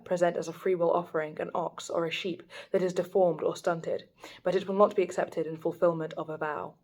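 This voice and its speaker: A young woman reading scripture aloud at a steady, even pace for her daily Bible video, speaking into a phone. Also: lowish voice.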